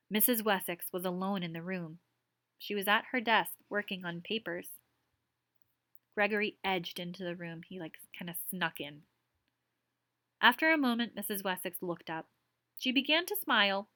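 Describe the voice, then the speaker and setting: A teacher reading a story aloud to a class, softly pausing to explain words in plain terms. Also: female voice, mid-range voice, no room sound. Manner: soft, explanatory.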